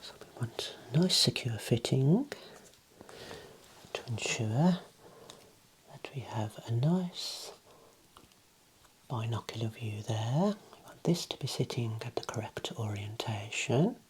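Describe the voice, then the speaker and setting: A man speaking softly and breathily, often dropping into a whisper, playing an eye clinician in a gentle, reassuring ASMR role play. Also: mid-range voice, animated, slow, pauses often, slight background noise, rough recording. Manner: gentle, procedural, reassuring instructions.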